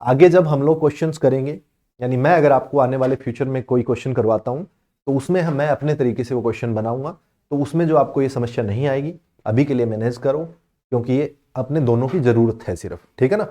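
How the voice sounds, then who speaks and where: A man explaining history exam questions to students, reading the English text slowly and then explaining it in Hindi, with many check-ins for understanding. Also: mid-range voice, mellow. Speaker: exam coaching teacher.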